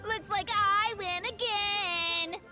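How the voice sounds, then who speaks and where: An earnest little boy in an anime-style game. The voice is very high and childlike, unhurried, with lively swings and music behind it. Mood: gleeful, confident. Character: earnest, trusting little boy, eager and loyal.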